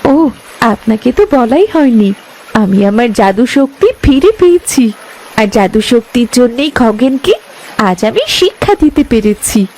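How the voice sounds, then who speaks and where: A woman playing a gentle, dignified young fairy in a cartoon fairytale, speaking earnestly and formally. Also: fairly high pitch, animated, measured pace, a little hiss or hum, low-quality recording. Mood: composed.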